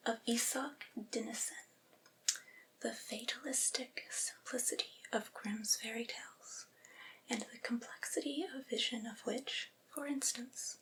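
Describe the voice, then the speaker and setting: A woman reads literary prose aloud for ASMR in a soft, breathy whisper. Her pace is very unhurried, with careful, deliberate phrasing. The voice sits on the higher side, with almost no voiced tone. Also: poor audio. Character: devoted servile female vampire's familiar soothing master. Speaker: ASMR performer reading aloud.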